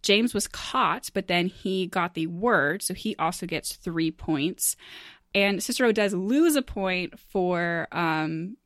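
A woman hosting a game show among friends, casual and upbeat and quick to laugh. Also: on the low side, dry recording, clean studio sound.

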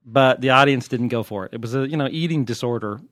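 An American man talks casually as a podcast host, with a wry and joking manner. His voice sits at a middling pitch, recorded close and dry with no room sound. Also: fast talker, fairly bright tone, dry recording. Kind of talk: casual, unscripted podcast chat answering listener questions.

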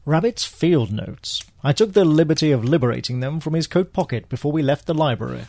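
Man acting a droll spy, husky and animated. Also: fairly high pitch, fairly quick. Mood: brisk, thoughtful. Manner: deadpan, wry, punning. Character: droll, unflappable male British spy, dryly witty. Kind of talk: scripted radio theatre acting.

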